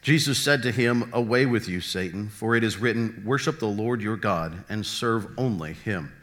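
A man's voice: fairly low pitch, normal speed, fairly bright tone. A man reading a Bible story aloud from a prepared text. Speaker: volunteer scripture reader. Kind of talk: scripted reading of scripture aloud.

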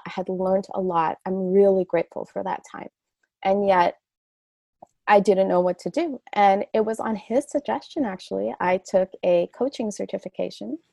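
A woman's voice: mid-range voice, creaky, darkish tone, dry recording. A middle-aged woman from Canada, a guest on a podcast, speaking earnestly and reflectively in long flowing sentences. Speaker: guest, coach. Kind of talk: podcast interview.

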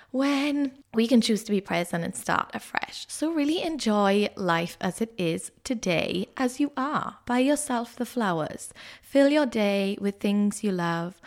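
Young woman podcast host, croaky from a cold, chatty solo delivery. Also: mid-range voice. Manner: chatty storytelling, quick asides.

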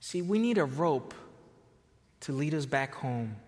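A man's voice: fairly high pitch, lively intonation, measured pace, a little mellow, big-room sound. A man from Costa Rica preaching a sermon, telling stories and often repeating words as he starts a sentence. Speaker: pastor.

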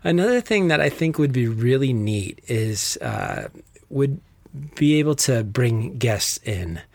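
A man's voice: mid-range voice, very animated, measured pace, gravelly, darkish tone, dry recording. A man with a Texas accent co-hosting a faith podcast, chatting in an easygoing, rambling way. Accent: Texan. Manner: easygoing, rambling, laughs easily. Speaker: podcast co-host. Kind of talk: casual podcast chat.

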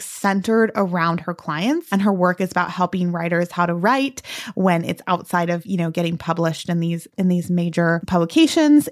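Female podcast host, medium pitch, emphatic and conversational solo delivery, coaching business owners. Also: a little mellow. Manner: conversational, emphatic, peppered with "like" and "right?". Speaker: podcast host and branding consultant.

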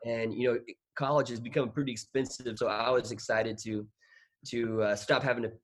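A man's voice: mid-range voice, steady tone, fairly quick. A man in his thirties who grew up in Thailand, chatting casually as an interview guest, laughing often and restarting his sentences. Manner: laughing, self-deprecating, rambling with restarts.

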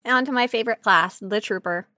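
A woman co-hosting a silly, casual podcast about video game characters, chatting in an excitable, giggly and animated way. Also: fairly high pitch, fast, no room sound, studio quality. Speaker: podcast co-host.

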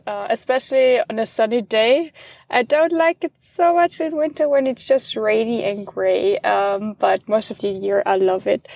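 Woman with a gravelly voice. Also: fairly high pitch. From Austria. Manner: relaxed, friendly, hesitant with fillers. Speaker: interview guest, English student. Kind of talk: casual interview chat.

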